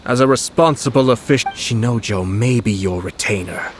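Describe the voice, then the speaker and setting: An actor voicing a poised, shrewd young noble commissioner in an anime video game, speaking in a measured, formal, articulate way. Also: male voice, medium pitch, slight background noise, lightly acted. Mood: stern, composed.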